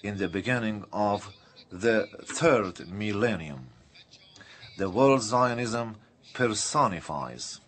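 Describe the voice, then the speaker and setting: A man delivers a live interpretation of a formal political speech. His pace is slow and halting, broken into uneven bursts. His pitch swings widely through the phrases, giving the delivery an animated feel. His voice sits a little on the low side. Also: a little hiss or hum.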